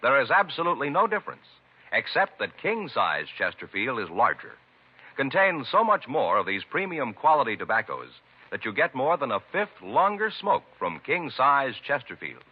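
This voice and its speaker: Husky, animated male radio announcer. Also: medium pitch, moderate pace. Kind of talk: 1950s radio announcing, scripted. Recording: dull, husky-sounding vintage radio recording.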